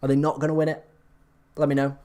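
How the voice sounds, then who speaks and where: A man hosting a live stream, chatting casually and reacting to viewer comments, his voice slightly hoarse from hours of talking. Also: fairly high pitch, completely flat delivery, quick pace, warm tone.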